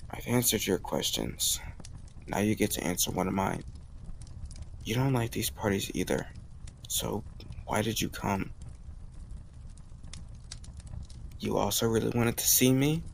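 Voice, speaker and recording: A man acting as a smitten college guy with a low voice and plenty of gravelly fry. He talks in a teasing, confident, intimate way, as in a romantic audio roleplay. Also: slight background noise. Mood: sincere, tender. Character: bold, teasing young male college student, smitten romantic.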